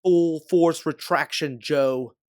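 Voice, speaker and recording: A man's voice: a little high, slow pace, darkish tone, dry recording, studio quality, voice pushed up. A man voicing a tough female bounty hunter in an audiobook, giving terse, commanding lines. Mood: shouted command. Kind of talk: acted audiobook dialogue.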